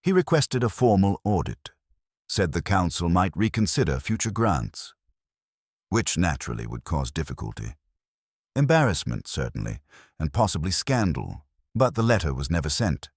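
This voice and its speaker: A man's voice: deep voice, very animated, normal speed, slightly rough, no echo, studio quality, heavily acted. A man voicing a prim, efficient female records clerk in a detective audiobook, speaking precisely and formally. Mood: crisp, businesslike. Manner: precise, formal, without preamble. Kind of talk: audiobook detective story dialogue.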